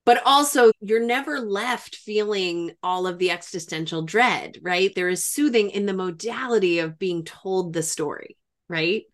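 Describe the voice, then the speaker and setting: A woman's voice: medium pitch, measured pace. A woman hosting a reflective interview, speaking in an effusive, emphatic way. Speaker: interviewer, podcast host.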